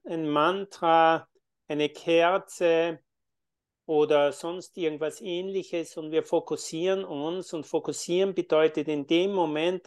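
A man who teaches meditation and yoga, answering interview questions in a calm, thoughtful way with frequent fillers. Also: fairly high pitch, clean tone. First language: German.

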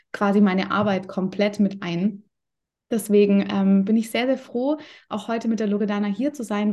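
A woman's voice: medium pitch, warm tone. A woman who works as a yoga coach, introducing herself informally at the start of a workshop. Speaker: yoga studio owner and body-oriented coach.

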